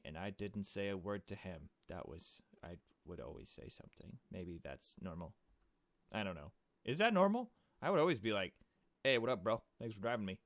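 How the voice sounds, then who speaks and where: A man's voice: fairly low pitch, no echo. A man reading a visual novel aloud as a let's play host, chummy and joking, with casual asides and swearing. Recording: music behind the voice. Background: game music.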